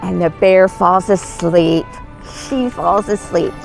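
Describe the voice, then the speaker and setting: A woman telling stories to young children in an expressive, coaxing, exclaiming read-aloud manner. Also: fairly low pitch, takes it slowly, creaky, soft background music, rough recording. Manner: animated, exclaiming, coaxing, dramatic gasps. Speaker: costumed storyteller as Mrs. Claus.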